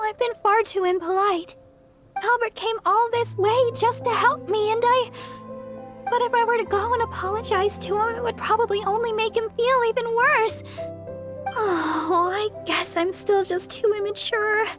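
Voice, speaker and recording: A woman playing a gentle, earnest young deaconess in a video game, speaking softly, politely and a little hesitantly. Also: extremely high pitch. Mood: apologetic. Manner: soft, sweet, polite, hesitant. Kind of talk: English video game voice acting.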